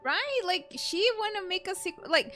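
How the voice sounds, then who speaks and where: High-pitched young woman, slangy streamer. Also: animated, moderate pace, sharp tone, soft background music. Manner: slangy, playful, opinionated. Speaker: streamer reacting to a game. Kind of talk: casual livestream commentary.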